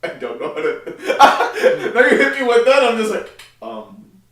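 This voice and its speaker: A man's voice: extremely high pitch, wildly swooping pitch, unhurried, a bit bright. A young man co-hosting a casual podcast, reading an article aloud and joking with frequent laughs. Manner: joking, laughs often, mock-reads quotes. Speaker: podcast co-host. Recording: echoey room, distant mic.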